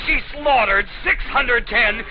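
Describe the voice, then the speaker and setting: A man's voice: very high-pitched, takes it slowly, muffled recording. A man narrating a 1970s horror film trailer in a lurid, dramatic way, on an old film soundtrack. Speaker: trailer announcer. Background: loud music.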